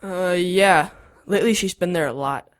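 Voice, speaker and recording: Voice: medium pitch, normal speed, natural voice. A performer playing an eager, cheeky eleven-year-old boy in an audio drama, talking matter-of-factly.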